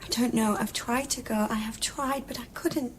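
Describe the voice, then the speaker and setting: Actress as fragile, anguished high-voiced woman. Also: steady tone, normal speed, slight background noise, close to an everyday voice. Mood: withdrawn, despairing. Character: fragile, anguished, wounded woman pleading with her lover. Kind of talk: radio drama acting.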